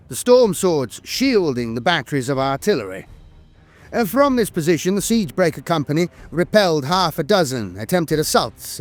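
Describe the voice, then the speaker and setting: A man reading scripted war fiction as a lore narrator, with a dramatic storytelling delivery. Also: fairly high pitch.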